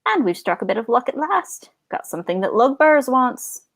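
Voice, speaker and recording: A woman voicing a rough, boastful male orc captain with grunting, colloquial speech for a fantasy storybook. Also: mid-range voice, lively intonation, measured pace, mellow. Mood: scornful, gloating.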